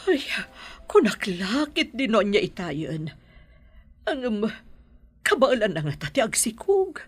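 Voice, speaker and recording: Older concerned mother, Ilocano radio drama; lively swings in pitch, husky tone, faint music behind. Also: slow pace, heavily acted. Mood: caring, concerned. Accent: Ilocano Filipino. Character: concerned, caring older mother of grown children.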